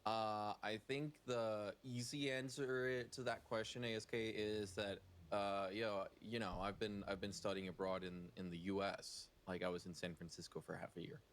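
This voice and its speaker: A Danish man whose English carries a near-American accent, speaking in a fairly low voice. As a guest on a chat show, he talks things through slowly, with plenty of fillers. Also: level tone. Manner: thoughtful, filler-laced, drawn-out explanations. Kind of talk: casual interview answers.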